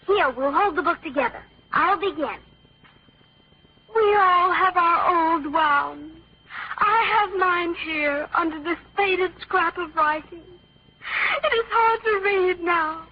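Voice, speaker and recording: An actress playing an affected, coquettish, theatrical teenage girl in an old-time radio drama. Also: very high-pitched. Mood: melodramatic. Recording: dull, faintly noisy old radio recording.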